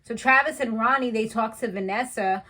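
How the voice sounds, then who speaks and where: A woman's voice: on the high side, normal speed, pushed and bright. A woman in her thirties casually recapping a reality show, chatty and slangy, laughing at her own asides. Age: 30s.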